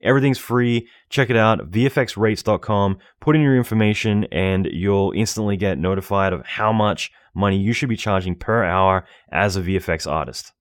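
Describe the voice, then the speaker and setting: A male podcast host with a somewhat low voice, chatty and joking, who rushes through some phrases. He talks with his guest over a call. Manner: chatty, jokey, talks fast in bursts. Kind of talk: casual interview and promo read. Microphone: call microphone.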